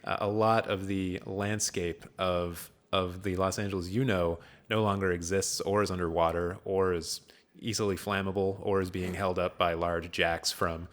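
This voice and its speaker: A man with a fairly low voice talks casually as a podcast host. He rambles through his storytelling with a joking, improvised feel, as if recapping something for friends. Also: little pitch movement, normal speed, a bit bright. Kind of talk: casual film recap chat.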